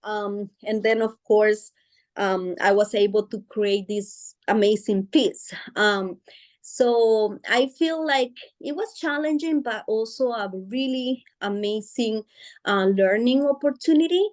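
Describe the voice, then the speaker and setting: A woman's voice: fairly high pitch, slow pace. A woman whose first language is Spanish, talking as an author guest in a casual interview, hesitant with many fillers and restarts, often laughing as she speaks. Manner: emotional, laughs while speaking.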